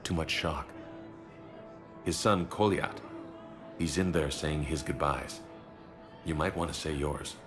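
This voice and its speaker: A sober doctor in a video game. His voice is extremely low, and he talks frankly in a grave, measured manner. Music runs underneath. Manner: measured, grave, matter-of-fact. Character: frank, sober hospital doctor delivering bad news.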